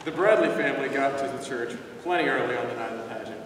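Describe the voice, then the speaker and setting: A man's voice: mid-range voice, fast, close to an everyday voice. A man performing as a matter-of-fact narrator in an amateur readers theater skit. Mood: storytelling. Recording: echoey hall from far off, noisy.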